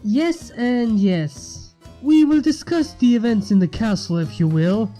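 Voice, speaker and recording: A man's voice: extremely high pitch, expressive, unhurried, warm tone. A man voicing a businesslike male pony lord in a terse, matter-of-fact manner. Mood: blunt. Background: background music.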